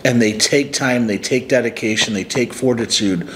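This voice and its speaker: A man's voice: mid-range voice, moderate pace, a bit bright, slight background noise. A man in his forties from upstate New York, talking earnestly to the camera as a life coach in a small room. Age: 40s. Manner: earnest, reflective, motivational. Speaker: life coach and channel host. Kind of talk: casual talk to camera. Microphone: camera close up, sitting on a couch.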